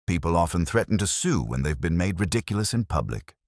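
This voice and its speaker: A man's voice: sits low, very animated, no room sound. A man voicing a mild, dry-witted police inspector. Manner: quiet, dry and unhurried.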